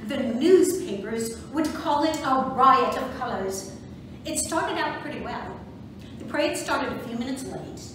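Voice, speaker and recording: A proud suffragist organizer played by an amateur woman reader declaims in a high, lively voice at a measured pace. It is a stage reading captured from far off in a very echoey room. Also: background noise. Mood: storytelling. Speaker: volunteer reader in a museum reading play. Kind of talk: amateur reader's theatre monologue.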